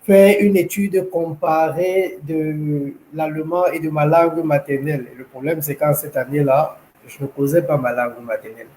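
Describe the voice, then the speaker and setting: A young man from Cameroon, a language school founder, telling his story in an interview, measured and hesitant with many fillers. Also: on the high side, steady tone, darkish tone, slight background noise, low-quality recording. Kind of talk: interview, personal account.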